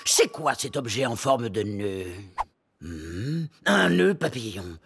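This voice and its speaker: A stern, indignant male microbe in charge, from a children's cartoon. His voice is gravelly and slow, with huge dramatic swings in pitch and music behind it. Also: very animated, a bit bright, a little hiss or hum, exaggerated voice. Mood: stern, suspicious.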